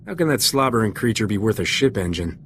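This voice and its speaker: A man's voice: lowish voice, very animated, quick pace, fairly bright tone, slight background noise. A man playing a wry, charming, unflappable smuggler captain in a video game. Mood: wry, casual. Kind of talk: video game cutscene dialogue.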